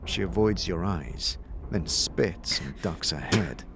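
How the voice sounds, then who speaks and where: A man's voice: sits low, lively intonation, slow, noisy background. A man narrating a scripted fantasy audio drama in the second person, with a theatrical, vividly descriptive delivery. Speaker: game master and narrator.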